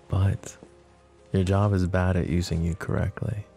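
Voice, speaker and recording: Man playing a low, smug, flirty mafia boss. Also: little pitch movement, unhurried, vocal fry, warm tone, soft background music. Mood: soft, provoking. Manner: teasing, mocking, intimate drawl with pet names. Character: smug, flirty, possessive male mafia boss under interrogation. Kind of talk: ASMR roleplay acting.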